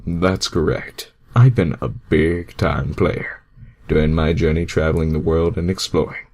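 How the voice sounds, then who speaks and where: A man voicing a smug, laid-back cartoon hedgehog who tries to sound mature, speaking slowly and mock-seriously. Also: rumbling low, darkish tone, voice pushed down, heavily acted. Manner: slow, deliberate, mock-serious.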